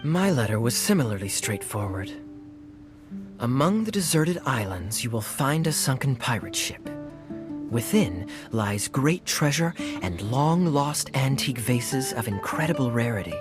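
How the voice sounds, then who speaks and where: Sly suave game adventurer, teasing, mid voice that swoops around, music underneath. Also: male voice, mid-range voice, very animated, a little slow. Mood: wry explaining. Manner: smooth, teasing, theatrical. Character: suave, sly young gentleman adventurer, playfully sardonic. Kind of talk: video game cutscene acting. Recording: music playing behind the voice.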